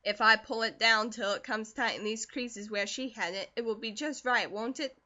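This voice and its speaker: A woman voicing a stern, sharp-tongued farm mother who scolds in clipped bursts, on a dull lo-fi recording. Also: a little high, brisk. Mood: scolding.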